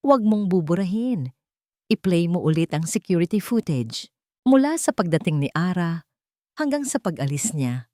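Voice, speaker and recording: A woman's voice: on the low side, sing-song, moderate pace, mellow, no room sound, studio quality. A woman voicing a cold, angry male CEO in an audio romance story, curt and commanding.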